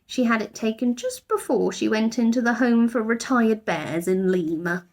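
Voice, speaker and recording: Small male bear, played by a woman reader: courteous, hesitant, earnest storybook hero with lilting, lively pitch. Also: normal speed. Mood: earnest, modest. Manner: polite, earnest, slightly hesitant. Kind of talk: children's storybook character voice.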